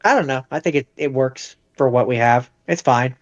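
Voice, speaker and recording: A man's voice: medium pitch, normal speed, dry recording, dull audio. A man co-hosting a podcast, talking casually about films. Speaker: podcast co-host. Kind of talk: casual chat reviewing movies.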